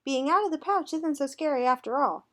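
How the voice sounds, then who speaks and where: A woman voicing a timid but caring young kangaroo pupil in a picture book, gentle and reassuring. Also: high voice, fairly quick. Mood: relieved. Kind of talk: picture book read aloud.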